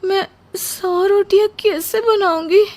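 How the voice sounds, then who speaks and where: A woman's voice: extremely high pitch, steady tone, slow pace, a little mellow. A woman voicing a young, carefree bride who exclaims dramatically and is easily overwhelmed, in a Hindi cartoon story. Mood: wailing, overwhelmed. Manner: exclaiming, melodramatic.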